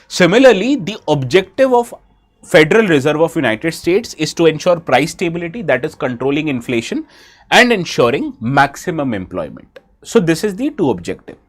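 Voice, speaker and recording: A man with an Indian English accent, who has a bad cold, gives a live exam-prep lecture and keeps asking his students questions. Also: on the high side. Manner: asks students questions, switches briefly into Hindi.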